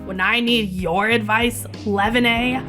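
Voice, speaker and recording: A man's voice: high-pitched, steady tone, unhurried. A man playing a brash, hot-tempered young American pilot in a motion comic, slangy and argumentative. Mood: indignant. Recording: loud music behind.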